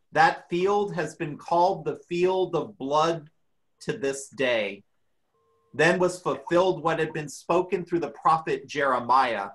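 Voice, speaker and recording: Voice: medium pitch, a bit bright, low-quality recording. A church reader giving a dramatic reading of scripture.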